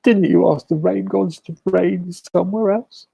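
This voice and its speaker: A tired little gecko pleads in a high, strained squeak, as a man puts him on while telling a nature story. The voice sits far above a normal speaking pitch and has a husky, mellow edge. He complains to the chief of how little sleep he gets. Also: steady tone, unhurried, no echo, heavily acted. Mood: pleading. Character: sleepless weary male gecko.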